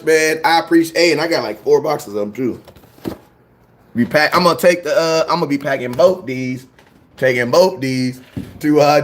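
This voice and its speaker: A young man hosts a casual fan show in an easygoing, excitable way. His voice sits fairly high for a man. He talks in slang and repeats phrases when he gets worked up. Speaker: sports fan show host. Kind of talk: casual live-stream chat.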